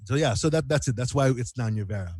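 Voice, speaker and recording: A man chatting casually as a singer-songwriter guest in an interview, animated and rambling, repeating phrases for emphasis. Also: medium pitch, fast talker, husky. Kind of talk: casual radio interview chat.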